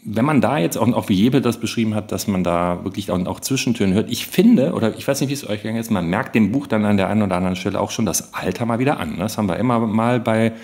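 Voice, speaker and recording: Low-voiced man hosting a casual podcast. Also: fast, few pauses, a little mellow. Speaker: podcast host.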